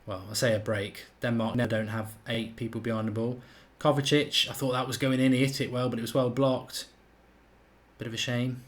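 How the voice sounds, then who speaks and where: A man's voice: medium pitch, flat delivery, brisk. A man with a slight Irish accent hosting a live football watchalong, chatting and thinking aloud while he reads out viewer comments. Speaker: host of a live match watchalong.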